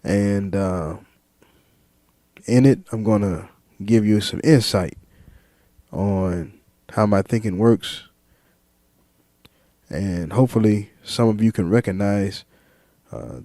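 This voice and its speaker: A man talking freely to his viewers in a reflective monologue, slow and deliberate, listing ideas one word at a time with some fillers and repeats. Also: lowish voice, mellow, no room sound, studio quality. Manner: lists ideas in measured single words. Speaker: vlogger.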